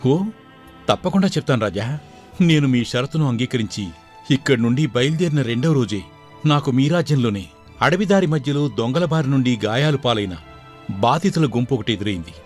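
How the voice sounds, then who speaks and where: Male actor as young storybook prince: earnest, respectful, formal, medium pitch, light music underneath. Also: animated, normal speed, natural voice. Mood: earnest recounting. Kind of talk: dramatised Telugu folk tale.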